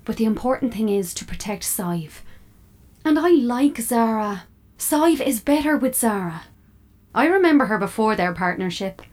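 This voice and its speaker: An actress in an audio drama playing an opinionated, proud, fussy smart fridge that likes to nurture and persuade. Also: female voice, on the high side, expressive, moderate pace, a little mellow, lightly acted. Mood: insistent.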